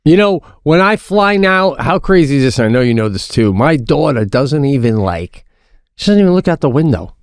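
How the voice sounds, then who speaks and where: Gravelly, lively-voiced man riffing on a podcast. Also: on the high side, normal speed, dry recording, clean studio sound. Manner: animated storytelling, lots of restarts, swears freely. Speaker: podcast co-host. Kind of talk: casual comedic chat.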